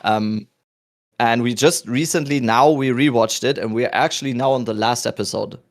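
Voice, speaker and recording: A man in his thirties with a German accent, hosting a podcast in a relaxed, rambling chat with restarts. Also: mid-range voice, normal speed. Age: 30s. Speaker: podcast host. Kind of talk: casual chat.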